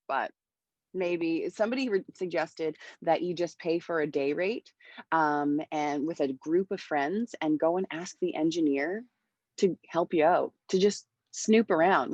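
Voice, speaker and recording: Lively woman mentor on a video call. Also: lowish voice, no echo, muffled recording. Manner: energetic, lots of hand gestures. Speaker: music mentor. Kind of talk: panel talk on recording. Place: home room with bright wall. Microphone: wireless earbuds on video call.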